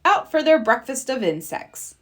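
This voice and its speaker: A blustery father bear from a picture book, as a woman reader puts him on. He speaks emphatically and his pitch leaps about. The delivery is expressive storybook reading. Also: normal speed. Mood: explaining.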